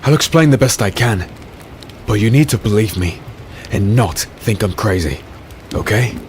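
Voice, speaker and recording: An actor playing a weary, haunted warrior on the run in a dark fantasy audio drama, brooding and dramatic in delivery. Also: male voice, fairly low pitch, expressive, normal speed, background noise, close to an everyday voice. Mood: urgent, pleading. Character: haunted warrior fugitive, weary, grim, dreading a tyrant's vengeance. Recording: music behind the voice.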